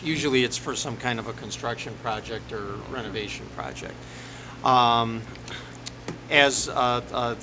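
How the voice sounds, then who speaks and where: A middle-aged man speaking haltingly as a village attorney, formally explaining ordinances at a board meeting. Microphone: desk microphone on the dais. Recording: noisy meeting room with ventilation rumble.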